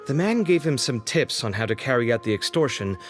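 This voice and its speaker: A man's voice: mid-range voice, expressive, a little fast, faint music behind, natural voice. A man voicing a candid young man in a video game, casual, emphatic and opinionated. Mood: explaining. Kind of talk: English video game dub acting.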